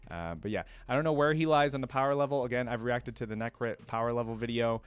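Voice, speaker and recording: A man's voice: mid-range voice, quick pace, clear, resonant tone, no echo, dull audio. A man hosting a reaction video, chatting informally and enthusiastically as he thinks aloud. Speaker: reaction channel host. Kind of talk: casual chat to camera, rambling commentary.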